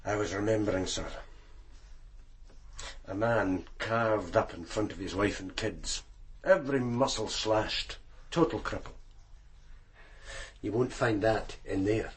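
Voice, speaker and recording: A man's voice: lowish voice, takes it slowly, long pauses. A man playing a seasoned, grim police detective in a radio crime drama, Scottish, speaking tersely and respectfully. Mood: grim, brooding.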